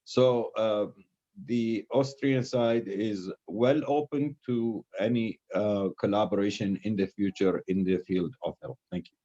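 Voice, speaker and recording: A man giving formal remarks at an online forum, halting with frequent fillers. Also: fairly low pitch, dark tone. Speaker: diplomatic speaker. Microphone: video call. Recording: dull lo-fi video call audio.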